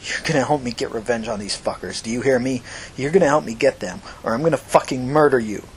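A man's voice: medium pitch, fast talker, a little hiss or hum, close to an everyday voice, low-quality recording. A man voicing a vengeful, foul-mouthed young woman who threatens and commands in short emphatic bursts in an audiobook story. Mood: threatening, insistent.

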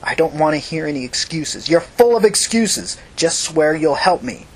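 A man's voice: fairly high pitch, lively intonation, moderate pace, husky, voice pushed up, rough recording. A man voicing a vengeful, foul-mouthed young woman who threatens and commands in short emphatic bursts in an audiobook story. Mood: menacing, commanding.

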